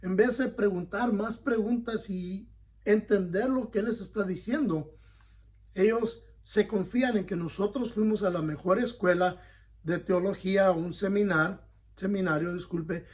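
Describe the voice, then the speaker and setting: A man with a high-pitched voice teaches a Bible study as a pastor. He speaks earnestly and conversationally, often pausing to check that his listeners follow. He corrects himself mid-phrase as he goes. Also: darkish tone. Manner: frequent self-corrections.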